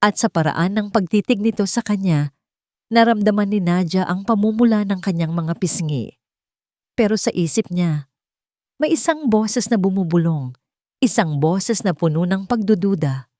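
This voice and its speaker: A woman's voice: medium pitch, lively intonation, moderate pace, darkish tone, dry recording, studio quality. A woman reading a scripted, dramatic love story as its narrator. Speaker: narrator of romance stories.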